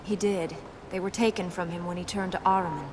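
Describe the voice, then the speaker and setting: An actress playing a serious, resolute young princess in a video game, speaking in an earnest, terse and dryly reproving way. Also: female voice, lowish voice, steady tone, brisk, background noise, close to an everyday voice. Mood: earnest, guarded. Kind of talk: video game cutscene voice acting. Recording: loud music behind the dialogue. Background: loud game score.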